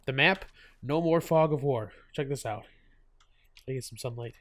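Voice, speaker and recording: A man's voice: mid-range voice, normal speed, fairly bright tone. A man with a stuffy cold hosting his gaming channel, chatting casually over gameplay, laughing often and full of fillers and restarts.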